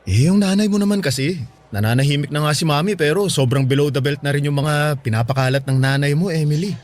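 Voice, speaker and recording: High-voiced, blunt young man, played by an actor. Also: quick pace, a little mellow, lightly acted. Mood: exasperated, blunt. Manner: exasperated, blunt, mixes in English slang. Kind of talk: Tagalog radio drama acting.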